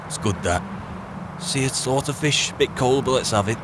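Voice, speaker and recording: A man's voice: mid-range voice, moderate pace, noisy background, lightly acted. A man roleplaying a wry, boastful archmage adventurer, muttering sardonic asides in colloquial speech as he plays. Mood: musing. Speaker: roleplaying gamer narrating in character as an adventurer mage. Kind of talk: in-character game roleplay commentary.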